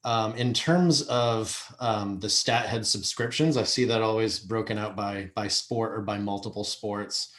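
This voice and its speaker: A man giving an informal, somewhat halting explanation as a guest on a video call. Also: fairly low pitch, steady tone, fairly bright tone. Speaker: guest website professional. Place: virtual meeting on video call.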